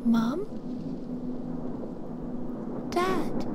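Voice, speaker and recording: A man puts on an extremely high, slow little squirrel girl, plaintive and anxious, as in a bedtime story, heard through loud background noise. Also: animated, exaggerated voice. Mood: worried calling. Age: child figure.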